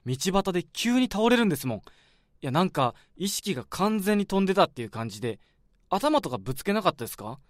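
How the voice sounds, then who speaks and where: A man's voice: high voice, big pitch swings, fast, dry recording, studio quality. An actor playing a polite young male junior office worker in a radio drama, eager and concerned. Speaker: junior coworker.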